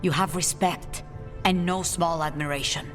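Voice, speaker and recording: An actor playing a dignified, earnest city leader in a video game, with a measured, formal way of speaking. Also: male voice, fairly high pitch, steady tone, fairly bright tone, natural voice. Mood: earnest, hopeful. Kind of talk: dramatic video game acting. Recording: long hall reverb, music behind.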